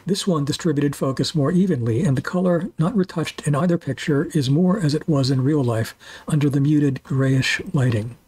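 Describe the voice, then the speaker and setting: A man's voice: fairly high pitch, moderate pace, a little mellow, breathy edge. A man in his sixties reading a scripted voice-over for a food and walking tour, with dry, wry humor. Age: 60s. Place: voice-over, not recorded on location.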